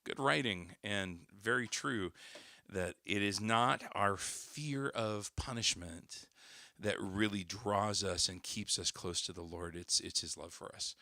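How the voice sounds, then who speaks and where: A man with a hoarse, recovering voice, chatting casually to viewers on his livestream with plenty of fillers and restarts. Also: on the low side, slow, sharp tone, no echo, clean studio sound. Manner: rambling, many fillers and restarts. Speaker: worship singer hosting a livestream.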